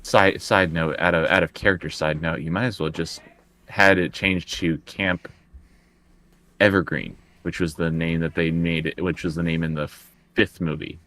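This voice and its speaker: Male tabletop player, deep and sharp voice, informal conversational delivery. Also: steady tone, normal speed. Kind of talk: casual game chat.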